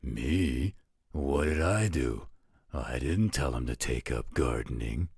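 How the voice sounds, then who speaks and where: A gruff, defiant werewolf, acted by a man, rumbles extremely low with a heavily husky rasp. He talks slowly and bluntly, sure of himself, in a roleplay audio drama. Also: lively intonation, a little mellow, no room sound, clean studio sound, full character voice. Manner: blunt, cocky.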